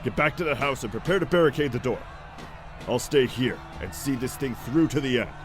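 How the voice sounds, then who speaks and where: A man playing a combative, gruff security commander with a forceful manner in an audiobook. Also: medium pitch, brisk, natural voice. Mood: grim, resolute. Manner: forceful, barking orders. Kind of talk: audiobook character acting. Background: prominent music with outdoor ambience.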